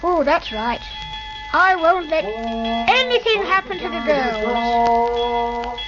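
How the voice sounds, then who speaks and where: A woman in a vintage radio play voicing Johnny, an eager, brave young boy who chatters excitedly. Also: fairly high pitch, slow pace, over loud music. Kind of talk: 1940s radio acting. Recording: dull noisy old radio recording.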